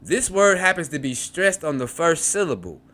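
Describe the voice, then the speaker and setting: Male teacher, lively pitch swings, reads a textbook then explains in his own words, repeats words to drill them. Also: moderate pace. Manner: repeats words to model pronunciation, frequent restarts and asides. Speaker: Hebrew teacher. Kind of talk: reading a textbook aloud and explaining.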